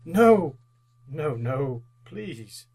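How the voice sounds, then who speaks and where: An actor playing an old miser shaken by remorse and wistful joy, in old-time radio drama style, speaking haltingly and repeating words. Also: male voice, fairly high pitch, big pitch swings, creaky, a little mellow, slight background noise, heavily acted. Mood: pleading.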